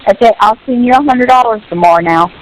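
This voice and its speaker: Halting older woman on hissy phone. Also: on the high side. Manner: halting, repetitive, earnestly advising. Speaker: relative on a phone call. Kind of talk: casual personal phone chat. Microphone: telephone. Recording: hissy, noisy phone call.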